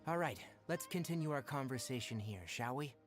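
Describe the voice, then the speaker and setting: A composed young male forest ranger played by a man, frank and protective, with a medium-pitched voice. He speaks in measured, articulate anime game style over faint background music. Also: animated, natural voice. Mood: earnest. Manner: measured, articulate, matter-of-fact. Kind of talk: anime video game voice acting.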